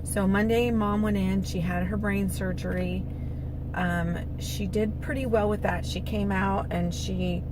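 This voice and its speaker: A middle-aged woman vlogging at home, a little hoarse and worn out, talking in a rambling, heartfelt way. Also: medium pitch, fairly even intonation, measured pace. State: hoarse, recovering from a lost voice. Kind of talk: casual personal update. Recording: loud background noise.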